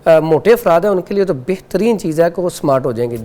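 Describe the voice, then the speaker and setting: Calm man giving herbal remedy advice. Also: a little high, fast talker. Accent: Pakistani Urdu. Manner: calm, instructive, lists remedies step by step. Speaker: herbal remedy guest expert. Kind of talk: TV morning show advice.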